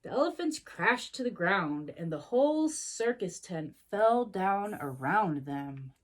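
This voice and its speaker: A woman's voice: mid-range voice, very animated, slow pace. A woman reading a storybook to young children expressively, adding sympathetic little asides.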